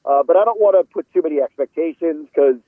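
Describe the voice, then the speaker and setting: Male sports reporter guest on a phone-like line, middle-aged, slightly high voice, casual analysis. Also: fast, no echo. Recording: tinny narrow phone-like line.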